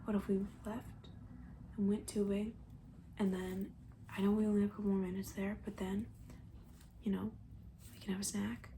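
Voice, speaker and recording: A woman playing a comforting young summer camp counselor in an ASMR roleplay, talking softly and near a whisper in a casual, rambling way. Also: medium pitch, flat delivery, normal speed, pauses often, a little hiss or hum, voice pushed down, lightly acted. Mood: reassuring, hushed. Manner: hushed, rambling, casual, reassuring.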